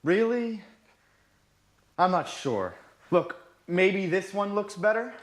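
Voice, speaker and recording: A jittery, clumsy male singer full of self-doubt in a language-course sitcom. His voice sits on the high side and swings expressively. The room around him is echoey. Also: lively intonation, takes it slowly. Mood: anxious. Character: nervous, apologetic, clumsy male professional singer before a show. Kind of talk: slow, clear scripted sitcom dialogue for learners.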